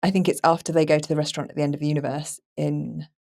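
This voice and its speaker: A woman with a slightly low voice in a relaxed podcast discussion. Her phrasing is loose and informal, as if working out her thoughts among friends. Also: racing, gravelly. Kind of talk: casual discussion.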